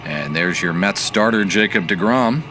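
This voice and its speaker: A man giving baseball play-by-play commentary as the announcer, measured and analytical in his delivery. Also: low voice, lively intonation, noisy background.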